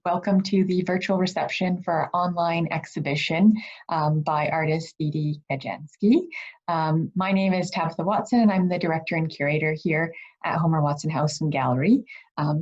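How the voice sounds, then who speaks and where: A woman's voice: lowish voice, a little mellow, lo-fi sound. A woman, a gallery director, hosting a virtual reception over a video call and reading an introduction with frequent fillers.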